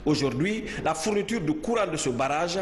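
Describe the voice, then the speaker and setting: A man reads a news report in a measured, formal tone, his voice a little high for a man. The room is roomy and echoey, with a long reverb tail. A faint hiss sits underneath. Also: lively intonation. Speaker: field reporter.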